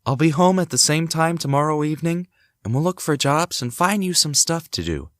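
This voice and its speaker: Actor playing an animated, devoted young husband. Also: male voice, on the high side, brisk, no echo, studio quality, close to an everyday voice. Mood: reassuring. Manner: reassuring, theatrical, thinks aloud to himself. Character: devoted young husband and father, gentle, curious, upbeat. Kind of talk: hobby audio drama acting.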